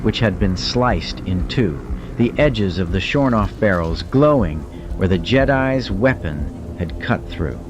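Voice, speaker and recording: A man's voice: sits low, lively intonation, unhurried, hardly any pauses, over music. A man reading scripted audiobook fiction as a dramatic narrator.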